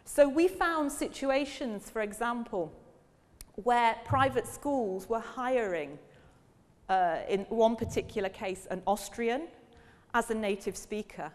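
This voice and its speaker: A woman's voice: fairly high pitch, lively intonation, slow. A woman professor giving a public lecture on language teaching, asking the audience questions as she goes. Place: lecture hall. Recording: long reverb of a lecture hall.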